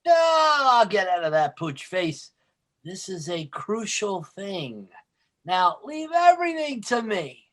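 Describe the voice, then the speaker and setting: An actor playing a sly, wheedling, ambitious factory worker in an old-time radio sitcom, with wisecracking, folksy speech. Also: male voice, high voice, big pitch swings, takes it slowly, fairly bright tone, heavily acted.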